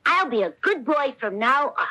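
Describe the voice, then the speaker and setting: A woman's voice: sits high, sing-song, normal speed, pushed and bright, exaggerated voice, low-quality recording. An actress playing an eager, naive little wooden puppet boy in a radio drama, with earnest exclamations. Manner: wide-eyed, earnest exclamations. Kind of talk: old-time radio drama acting. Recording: 1970s radio broadcast.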